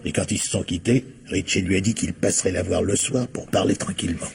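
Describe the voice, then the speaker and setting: A man's voice: deep voice, brisk, creaky, a little mellow. An actor playing a gossipy film-studio informant in a French radio crime play, colloquial and emphatic in his telling. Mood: gossipy, insistent.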